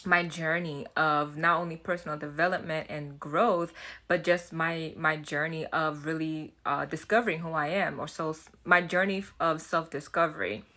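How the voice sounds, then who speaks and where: A woman with a Haitian accent speaks in a notably low register, her tone bright and forward. She talks to camera in an earnest, chatty way, circling back and repeating words as she thinks aloud. The delivery is relaxed and personal, like a vlogger sharing advice. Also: normal speed. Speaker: self-development vlogger. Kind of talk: casual talk to camera.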